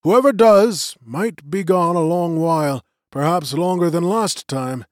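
A man voicing a gentle old magician. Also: fairly high pitch, measured pace, dry recording, studio quality, pitched above the performer's own voice, natural voice. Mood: measured. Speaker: elder magician leading a council.

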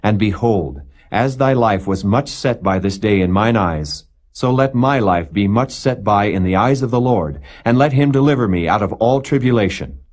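A man's voice: lowish voice, expressive, moderate pace, few pauses, a little mellow, lightly acted. Man voicing an earnest, emphatic ancient warrior. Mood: solemn, emphatic. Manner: formal, archaic, emphatic oratory. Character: earnest humble ancient Israelite warrior pleading his innocence.

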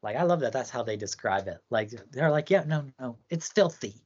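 A woman's voice: rumbling low, expressive, brisk, darkish tone, husky, muffled recording. A woman co-hosting a podcast, chatting casually and rambling with lots of "like". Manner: chatty, rambling, heavy use of "like". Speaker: podcast co-host. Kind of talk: casual chat about song contests.

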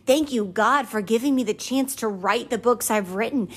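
A woman's voice: a little high, moderate pace, breathy edge. A woman in her twenties hosting a podcast, talking earnestly and confessionally about gratitude and faith. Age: 20s. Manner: earnest and confessional, voices her own doubts in a mocking lilt. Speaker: podcast host. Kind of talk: personal faith reflection monologue.

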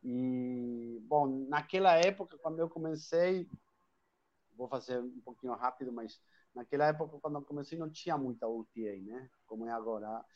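A man's voice: medium pitch, moderate pace, darkish tone, highs cut off. Argentine man talking at length. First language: Spanish. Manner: talkative, with frequent restarts and tag questions. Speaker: guest, hostel chain owner. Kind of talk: live online interview.

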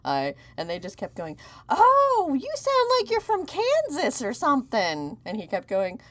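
A woman's voice: high-pitched, a little slow. A woman with an American accent co-hosting a podcast, joking in playful casual chat. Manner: playful, deadpan jokes. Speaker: podcast co-host.

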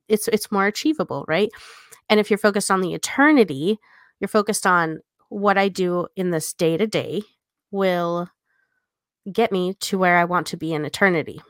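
A woman's voice: medium pitch, dry recording, studio quality. A woman co-hosting a podcast, explaining her thoughts casually and checking in with small tag questions. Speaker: podcast co-host.

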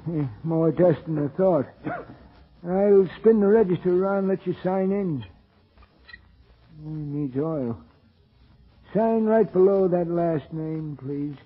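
A husky old hotel keeper, pitched fairly high for a male voice. He is fussy and eccentric, rambling along in folksy rustic speech. It is 1940s radio drama, thin and tinny. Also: slow, pauses often. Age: older. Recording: tinny, band-limited 1940s radio recording.

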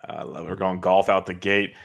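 A man's voice: deep voice, a little fast, creaky, muffled recording. A man in his thirties from California, a podcast guest chatting casually in a rambling way and laughing often.

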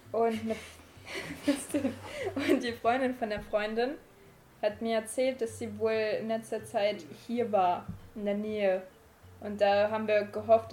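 A woman's voice: mid-range voice, dark tone, a little hiss or hum. A woman playing a tabletop game in character, talking in a chatty, rambling way. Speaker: player. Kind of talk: in-character roleplay chat.

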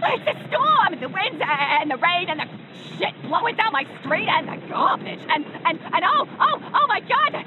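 A woman's voice: very high-pitched, big pitch swings, moderate pace, hardly any pauses. A woman playing a frantic, foul-mouthed older woman calling emergency services in a comic horror audio skit, over a phone line. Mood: panicked. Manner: frantic, exclaiming, breaking off and repeating words. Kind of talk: comedy audio horror parody. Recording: dull, very noisy emergency phone call.

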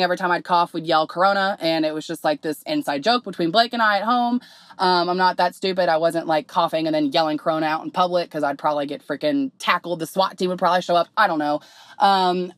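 A young woman, slightly hoarse, hosting her podcast in candid, rambling casual talk full of fillers. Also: lowish voice, dry recording, studio quality. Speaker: podcast host.

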